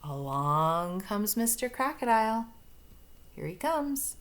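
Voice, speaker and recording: A woman's voice: medium pitch, sing-song, slow. A woman reading a picture book aloud to young children, expressively, with explanations and questions along the way. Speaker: storybook reader.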